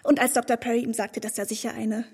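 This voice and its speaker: A young woman acting a naive, adoring gentlewoman in a period radio play, with a breathless, gossipy, effusive manner. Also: a little high, little pitch movement, fast talker, breathy edge. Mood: excited gossip.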